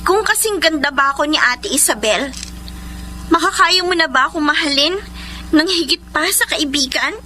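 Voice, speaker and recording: A woman's voice: sits high, expressive, normal speed, background noise. A woman playing a jealous, lovesick young woman in a radio drama, plaintive and emotional. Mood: hurt, pleading. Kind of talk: Filipino radio drama acting.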